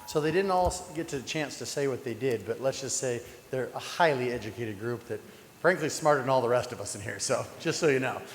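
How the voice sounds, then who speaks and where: A man presiding over a public council meeting, speaking in a friendly, informal, halting way with frequent restarts. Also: medium pitch, lively intonation. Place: council chamber. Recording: echoey council chamber with long reverb and faint hum.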